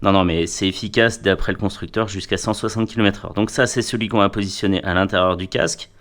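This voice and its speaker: A man's voice: on the low side, fast talker, fairly bright tone. A man giving a casual hands-on product review, playful and wry, often talking to himself and to the gear.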